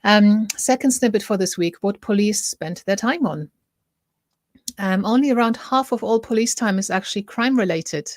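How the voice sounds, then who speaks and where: A woman's voice: mid-range voice, moderate pace, no room sound, studio quality. A middle-aged woman with a German accent, hosting a casual talk to camera, chatty, often breaking off mid-sentence to ask viewers what they think. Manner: chatty, self-interrupting, asks viewers for opinions. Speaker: host.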